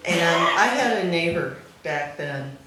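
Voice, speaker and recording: Slow-talking woman in a very echoey room. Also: on the low side, expressive. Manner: amused, often laughing as she talks. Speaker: speaker at a memorial celebration. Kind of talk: informal anecdote told to a gathering. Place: back room at a live gathering. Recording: very echoey room, faint noise.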